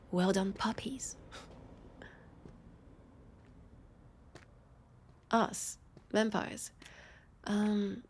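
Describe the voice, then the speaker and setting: Woman acting a husky, creaky French-accented vampire detective. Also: medium pitch, a little mellow. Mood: curious, wry. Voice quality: husky, breathy with creaky edges. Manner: playful, dry teasing with lingering pauses. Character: teasing, haughty female vampire detective, sardonic new partner. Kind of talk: roleplay audio drama, intimate one-sided dialogue.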